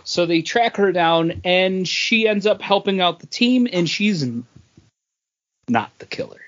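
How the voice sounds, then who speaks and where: A man's voice: high voice, lively intonation, measured pace, highs cut off. A man co-hosting a podcast, chatting casually about comics and beer. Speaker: podcast host.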